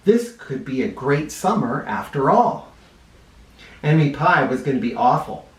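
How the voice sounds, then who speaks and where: A man reading a children's picture book aloud in an expressive way, with dramatic emphasis. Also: medium pitch, measured pace. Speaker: storybook reader for kids. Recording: roomy echo, distant mic.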